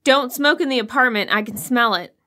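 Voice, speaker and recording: An actress playing an exasperated, complaining wife in an audio horror drama. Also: female voice, on the high side, brisk, sharp tone. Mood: exasperated, scolding. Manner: complaining, scolding. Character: nagging, exasperated sleepy wife.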